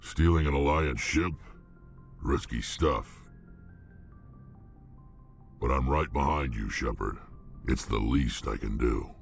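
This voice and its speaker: An actor playing a gruff, loyal alien warrior in a video game, speaking tersely in a gravelly voice. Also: male voice, rumbling low, takes it slowly, pauses often, faint music behind, full character voice. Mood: steady, loyal. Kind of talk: video game cutscene acting.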